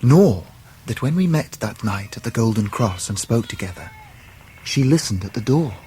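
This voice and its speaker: A man plays a young English gentleman of the Victorian age at middling pitch. He is polite, well-spoken and earnest, in radio drama style, with faint noise behind. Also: expressive, moderate pace, lightly acted. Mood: earnest urgency. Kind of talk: period radio drama acting.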